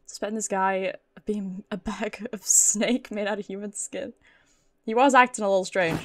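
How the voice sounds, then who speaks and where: A woman's voice: mid-range voice, steady tone, unhurried. A British woman giving casual, playful live commentary as she plays a game, talking to herself and reading dialogue aloud. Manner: talks to herself, playful, giggly, mildly sweary. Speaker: game streamer. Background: game music.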